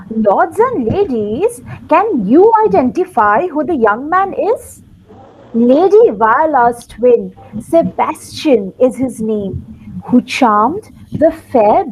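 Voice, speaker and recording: A woman's voice: sits high, slightly rough, poor audio. A woman narrating a play in theatrical rhymed storytelling, addressing her listeners directly.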